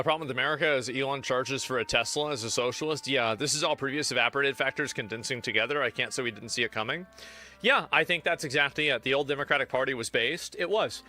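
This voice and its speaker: Guy streamer talking over a vid, bright voice, kinda rambly. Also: medium pitch. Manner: rambling, hesitant restarts. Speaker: live streamer. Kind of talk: casual commentary over a video.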